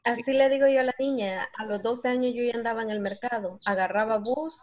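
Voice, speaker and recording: A woman's voice: on the high side, steady tone, brisk, dull audio. A woman casually telling personal anecdotes in a class discussion, in a chatty storytelling way.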